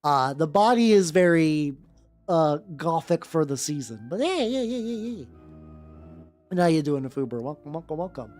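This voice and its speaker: A woman livestream host with a very lively, swooping pitch. She chats casually, stumbles, repeats herself and wanders off into asides as she reads fan fiction aloud. Music plays behind her. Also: moderate pace. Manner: rambling, stammering, repeats words and breaks off to comment. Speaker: vtuber streamer host. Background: background music.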